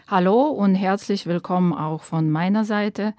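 A woman's voice: medium pitch, a little slow, mellow, no room sound. A woman from Ukraine, a guest activist, explaining earnestly in a studio with frequent hesitations. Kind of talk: conversational explanation. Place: university studio.

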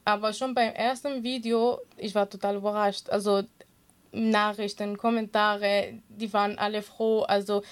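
A woman's voice: mid-range voice, little pitch movement, moderate pace, smooth. A woman whose first language is Kurdish, giving earnest, conversational interview answers in non-native German as a guest. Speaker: interview guest, swimming teacher and social media creator.

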